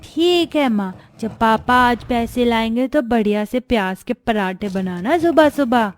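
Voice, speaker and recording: A woman's voice: a little high, lively intonation, unhurried. A woman voicing a whiny, demanding young schoolchild who pleads with parents in a moral-story cartoon.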